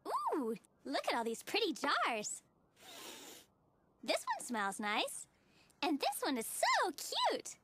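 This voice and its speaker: Sugary little girl for a kids' cartoon: unhurried, gushing, endlessly cheerful, hugely swooping squeaky voice. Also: wildly swooping pitch, noisy background, exaggerated voice. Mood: gleeful. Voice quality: squeaky, childlike. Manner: bubbly, gushing, sing-song. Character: relentlessly cheerful, naive, sweet little girl. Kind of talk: children's cartoon acting. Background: playful children's music.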